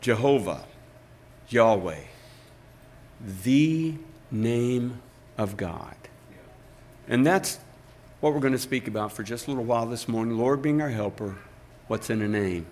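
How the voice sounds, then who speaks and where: An older man, a pastor, reading scripture aloud and preaching a sermon in a slow, deliberate way with emphatic pauses. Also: medium pitch, expressive, a little mellow.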